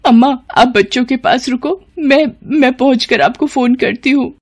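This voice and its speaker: A woman's voice: high-pitched, moderate pace, pitched above the performer's own voice. Earnest, worried young wife voiced by a woman. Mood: alarmed.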